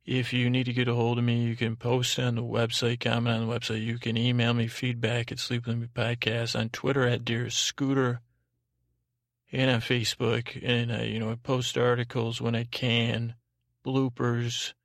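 A man hosting a podcast, talking in a meandering, halting way, drifting off on tangents and repeating himself. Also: mid-range voice, completely flat delivery, dry recording, studio quality. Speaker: podcast host. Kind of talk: rambling, tangential solo podcast talk.

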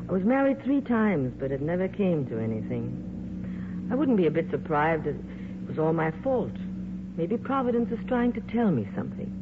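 A glamorous, world-weary female film star speaks in a 1950s radio drama. Her delivery is wry and theatrical, with lively rises and falls. The old recording is thin and tinny, with clear noise over it. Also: fairly low pitch.

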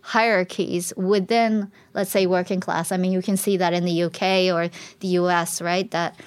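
Soft-spoken woman researcher, dry close mic. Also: lowish voice, level tone, normal speed, clean tone, no room sound. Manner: thoughtful, explanatory, many restarts. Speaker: researcher guest. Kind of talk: academic interview talk.

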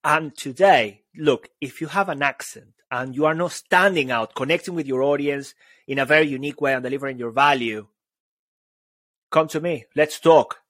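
A man's voice: fairly high pitch, normal speed, no echo, clean studio sound. Emphatic man, a public speaking coach. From Venezuela. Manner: energetic, emphatic, motivational. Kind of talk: casual podcast banter.

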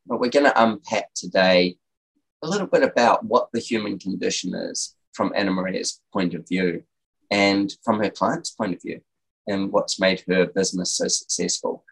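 A man's voice: fairly low pitch, moderate pace, gravelly, husky, rough recording. A man hosting a design interview podcast, chatty and rambling, restarting his questions with lots of ums. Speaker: podcast host. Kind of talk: relaxed interview chat.

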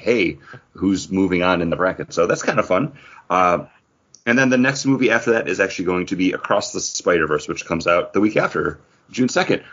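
Male podcast host, husky and lively, casual banter, lo-fi recording. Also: fairly low pitch.

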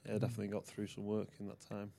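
A man's voice: fairly low pitch, completely flat delivery, racing, creaky, mellow, no echo. Easygoing male podcast guest. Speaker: podcast guest, new player. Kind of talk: casual interview chat.

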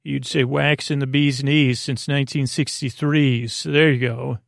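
Creaky-voiced man rambling gently at bedtime. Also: mid-range voice, flat delivery, no room sound, studio quality. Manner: meandering, halting, soothing, full of tangents. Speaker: podcast host. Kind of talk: rambling bedtime podcast monologue, casual friendly banter.

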